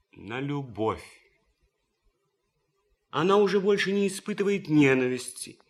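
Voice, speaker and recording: Slow, ardent, idealistic German officer. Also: male voice, mid-range voice, pushed and bright. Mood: rapturous. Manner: declamatory, rhapsodic, deliberate pauses. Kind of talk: radio play monologue.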